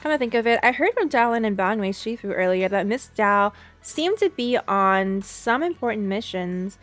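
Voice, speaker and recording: Female game reactor: mid-pitched, casual, sarcastic and blunt, faint game music behind her. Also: animated, moderate pace, a bit bright. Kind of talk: casual reaction commentary, reading dialogue aloud.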